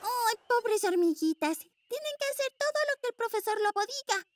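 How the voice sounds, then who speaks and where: Squeaky-high little girl rabbit. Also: animated. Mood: worried. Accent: Latin American Spanish. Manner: exclaiming, drawn-out cheers. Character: caring, spirited little girl rabbit superhero. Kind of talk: children's cartoon dub. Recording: music behind the voice.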